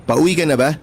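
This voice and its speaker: A persistent young suitor in a radio drama, teasing with little chuckles yet sincere underneath. His voice sits a touch high, with soft music in the background.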